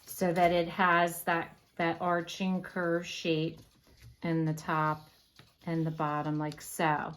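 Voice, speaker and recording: Slow-talking woman demonstrating a craft. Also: lowish voice, monotone, fairly bright tone. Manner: unhurried, explanatory, with frequent fillers. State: losing her voice, coughing. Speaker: craft tutorial host. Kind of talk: casual step-by-step craft demonstration.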